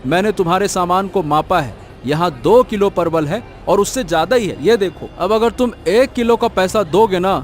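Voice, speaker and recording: A man's voice: high voice, moderate pace, hardly any pauses, lightly acted. A man playing a naive, honest village vegetable seller in a cartoon, earnest and plaintive, often repeating himself. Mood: indignant. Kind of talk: Hindi cartoon moral story acting.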